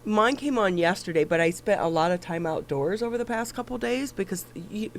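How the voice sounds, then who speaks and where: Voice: mid-range voice, lively intonation, fairly quick, a little hiss or hum. A talk show host with a stuffy nose, reading the news and chatting casually with a co-host, with lots of fillers and restarts. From Michigan.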